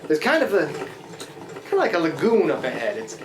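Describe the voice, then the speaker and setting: A woman's voice: low voice, huge pitch swings, a little fast. A woman playing a scruffy, easygoing male riverboat skipper in a live radio play, with folksy working-class speech, chatty and grumbling but deferential. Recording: echoey live stage, noticeable room noise.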